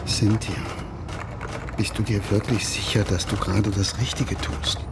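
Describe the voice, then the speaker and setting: A man's voice: on the low side, fairly even intonation, unhurried, vocal fry, heavy background noise. An actor playing a formal, firm man protectively and indignantly defending a woman in a radio crime drama. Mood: protective, urgent.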